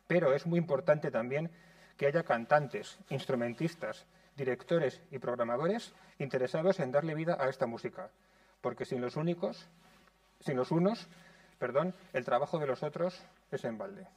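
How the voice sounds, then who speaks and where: A man in his thirties from Spain, reading a prepared lecture in a measured way in a conservatory lecture room. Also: mid-range voice, no echo, far from the mic. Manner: measured, reads from notes.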